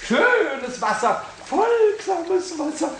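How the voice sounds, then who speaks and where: A man's voice: big pitch swings, drawn-out words, a little hiss or hum, exaggerated voice. An actor playing a cheeky, mischievous little male kobold in a 1960s radio play, who chatters, stammers and repeats himself. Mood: coaxing. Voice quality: high squeaky voice, chatters and stammers.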